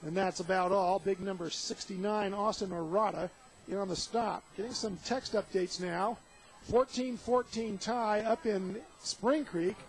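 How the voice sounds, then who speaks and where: A man's voice: high voice, fairly even intonation, a little slow. An American man calling live play-by-play of a football game for radio. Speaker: play-by-play commentator. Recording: noisy outdoor stadium radio broadcast.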